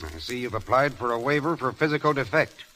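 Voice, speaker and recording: A man's voice: medium pitch, a little fast, sharp tone, lightly acted. A man playing a by-the-book aviation inspector, official, clipped and dry, in vintage drama style. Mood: stern, dry. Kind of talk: vintage 1930s-1940s drama acting.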